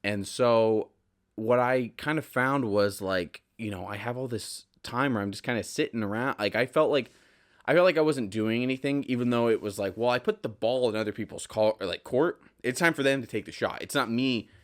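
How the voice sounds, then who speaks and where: A man's voice: medium pitch, moderate pace. A man hosting a solo podcast in casual, rambling talk, often correcting himself and drifting into asides. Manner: self-correcting, digressive, conversational. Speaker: podcast host.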